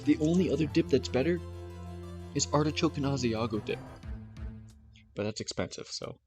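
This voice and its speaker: A man's voice: mid-range voice, moderate pace, music in the background. A man in casual chat, playful and teasing, swearing now and then.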